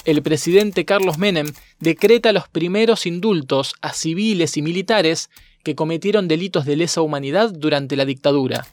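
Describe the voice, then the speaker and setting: Male documentary narrator, fairly high register, scripted, measured and formal delivery.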